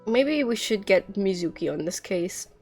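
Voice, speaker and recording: A woman's voice: medium pitch, expressive, normal speed. A woman game streamer giving casual live commentary, wry, offhand and joking. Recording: game music behind the voice.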